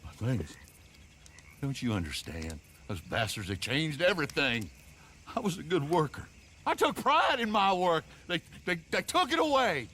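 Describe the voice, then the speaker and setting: A man's voice: on the high side, sing-song, moderate pace, fairly bright tone, slight background noise. A man playing a bitter, disgraced old working man who rambles indignantly in a video game cutscene. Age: older.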